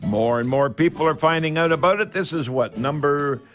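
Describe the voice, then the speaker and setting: An older man with a Canadian accent hosting a home improvement call-in radio show, talking in a folksy, rambling way like a friendly salesman making a pitch. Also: moderate pace, a bit bright, faint music behind.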